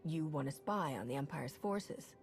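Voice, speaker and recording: A woman's voice: low voice, lively intonation, moderate pace, soft background music. An actress playing an earnest, principled woman hero who speaks directly.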